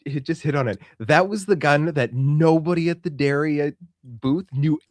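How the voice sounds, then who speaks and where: A man's voice: fairly high pitch, normal speed, dry recording. A Canadian man co-hosting a podcast in casual, emphatic, colloquial chat. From Saskatchewan, Canada. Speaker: podcast co-host.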